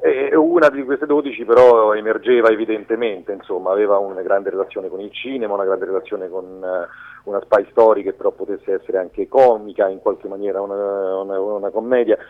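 A man answering interview questions spontaneously and haltingly as a radio guest. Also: fairly low pitch, steady tone. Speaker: film director as radio guest. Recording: dull lo-fi radio call.

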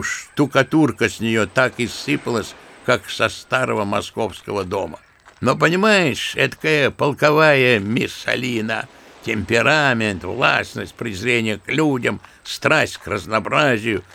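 An actor playing an old retired general in an audio drama, telling stories in a lively, scornful way. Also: male voice, medium pitch, gravelly. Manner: lively raconteur, scornful asides.

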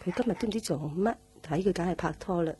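A woman's voice: fairly low pitch, fast talker, loud music behind the voice, lightly acted. An actress with a Hong Kong accent playing a protective middle-aged mother in a radio drama, homely and nagging. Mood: fussing, homely. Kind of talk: Cantonese radio drama acting.

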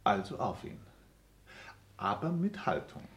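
A low-voiced, haughty, animated count. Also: male voice, unhurried. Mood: sardonic, haughty. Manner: haughty, drawling, sardonic. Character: languid, snobbish aristocratic count, flippant and condescending. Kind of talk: 1960s German radio play acting.

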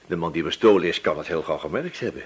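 An actor in a radio play voicing a shrewd, patient police inspector who probes casually in a musing, hesitant way. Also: male voice, low voice, animated, quick pace, slight background noise. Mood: pressing, suspicious. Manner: musing, hesitant probing with repeated questions. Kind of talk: radio drama dialogue.

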